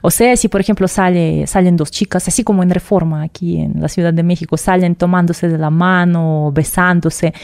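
A young woman explaining things in an unhurried way, her voice low for a woman and dark in tone. The sound is dry and close, with no room around it. She talks thoughtfully, restarting words now and then. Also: fairly even intonation, creaky, no room sound. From Russia. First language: Russian. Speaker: interview guest. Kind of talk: casual interview conversation.

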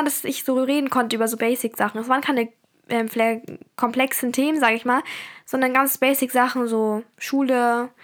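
Chatty teenage girl, fairly high voice. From Germany. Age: teens. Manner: chatty, rambling, frequent fillers. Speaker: podcast host. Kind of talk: casual personal podcast chat.

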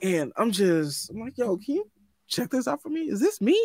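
A young adult man, a voice actor, talking in an animated, excitable way with stammered restarts in a casual podcast chat. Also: high voice, normal speed, no echo, clean studio sound. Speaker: voice actor guest.

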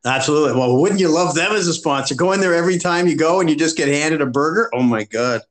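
A man co-hosting a casual podcast chat, talking in halting restarts and laughing easily. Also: fairly high pitch. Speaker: podcast co-host.

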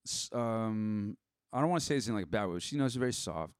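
Blunt young man, low voice, chatting candidly. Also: lively intonation, quick pace, smooth, no room sound, studio quality. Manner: blunt, candid, swears casually. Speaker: podcast guest. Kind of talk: casual personal chat.

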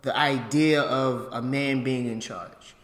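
A man's voice: mid-range voice, steady tone, takes it slowly, crowd noise. A young man co-hosting a casual chat podcast, playful and jokey, who stumbles over words. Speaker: podcast co-host. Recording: echoey room with long reverb.

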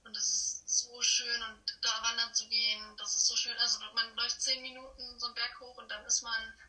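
A woman's voice: on the high side, level tone, brisk, pushed and bright. A teenage young woman from Germany chatting casually as an exchange student being interviewed, effusive and self-deprecating, over a remote call. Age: teens. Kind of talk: casual chat. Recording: echoey, distant phone or video call.

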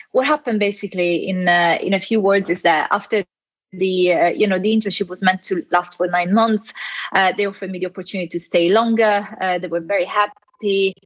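Italian-accented woman with a lowish voice. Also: highs cut off. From Italy. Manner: hesitant, many fillers and restarts. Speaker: guest. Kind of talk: casual interview conversation.

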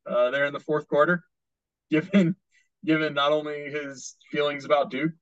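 A man who writes about sports, co-hosting a casual podcast and explaining things in a measured way. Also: mid-range voice, a bit bright, no echo, highs cut off. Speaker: sportswriter co-host.

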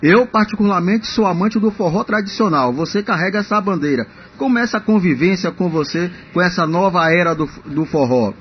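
A man's voice: high voice, brisk, hardly any pauses, a little hiss or hum. A man co-hosting a radio show, asking a courteous, long-winded question. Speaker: radio co-host. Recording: dull radio broadcast.

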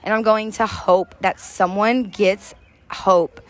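Deep-voiced woman, earnest recovery podcaster. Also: steady tone, takes it slowly. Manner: earnest, heartfelt, addresses listeners as "you guys". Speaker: podcast co-host. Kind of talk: faith-based recovery talk. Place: outdoors on an apartment back deck.

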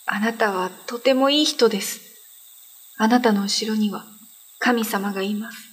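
A woman playing a gentle, devout female prisoner in a radio drama, speaking politely and earnestly. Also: medium pitch, normal speed, close to an everyday voice. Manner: polite, earnest, formal speech. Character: gentle, devout imprisoned woman, grateful and weary. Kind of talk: Japanese radio drama acting.

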